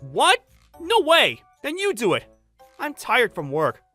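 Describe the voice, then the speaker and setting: A woman's voice: on the high side, huge pitch swings, slow, a bit bright, soft background music, heavily acted. A woman voicing a selfish husband who refuses to do housework, in a blunt, demanding manner for a manga dub. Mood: dismissive.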